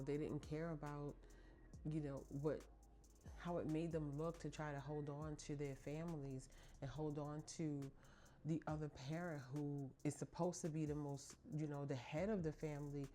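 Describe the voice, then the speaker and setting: Middle-aged woman podcast host, low warm voice, chatty and emphatic, speaks straight to her listeners. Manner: chatty and emphatic, addresses listeners as ladies and y'all. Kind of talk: casual heartfelt monologue to listeners.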